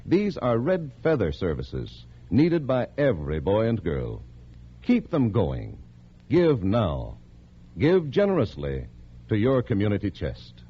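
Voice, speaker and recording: American male announcer, 1950s radio, low husky voice, emphatic short phrases, dull old recording. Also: huge pitch swings, slow. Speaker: public service announcer. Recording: muffled vintage radio recording.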